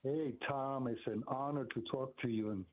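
A man's voice: medium pitch, steady tone, normal speed. An older man from Brooklyn, born in Puerto Rico, telling a personal story casually as a caller on a phone line. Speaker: radio show caller.

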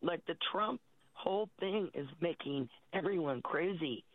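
Over a narrow, tinny phone line comes an American woman with a very low, husky voice, still getting over a cold. She is a radio caller who rambles casually, halting as she searches for words. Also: expressive, no room sound. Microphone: telephone.

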